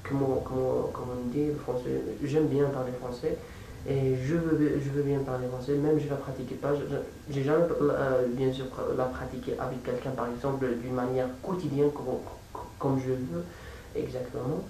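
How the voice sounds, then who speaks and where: A man with a non-native accent speaks off the cuff in a casual vlog style, at an ordinary male pitch. He moves forward haltingly, doubling back on words as he looks for the next phrase. The recording sounds roomy and far from the microphone. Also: little pitch movement, mellow. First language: not French or English. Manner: halting, repeats words while searching for phrasing. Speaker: amateur language-learning vlogger. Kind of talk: casual unscripted vlog talk. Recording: echoey room, distant mic, faint hiss.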